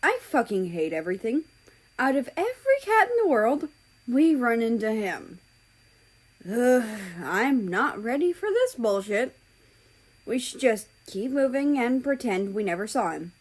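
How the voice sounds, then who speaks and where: A woman's voice: a little high, very animated, unhurried, breathy edge, heavily acted. A woman voicing a grumpy, sardonic male cat in a story, full of exasperated complaints.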